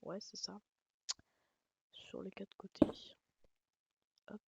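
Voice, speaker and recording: A woman's voice: mid-range voice, flat delivery, slow, gravelly, muffled recording. A woman with a light Alsatian accent giving a rambling, casual game tutorial, swearing and making self-mocking jokes. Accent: Alsatian French. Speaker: gaming channel host. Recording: loud background noise.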